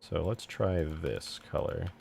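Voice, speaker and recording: A man's voice: deep voice, slow pace, vocal fry, warm tone. A man live streaming while he works, casually thinking aloud and muttering questions to himself. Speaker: game modding live streamer.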